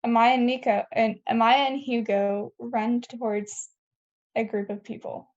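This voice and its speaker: A woman's voice: on the high side, little pitch movement, unhurried. A woman reading scene headings and stage directions as narrator of a table read, on a dull lo-fi recording.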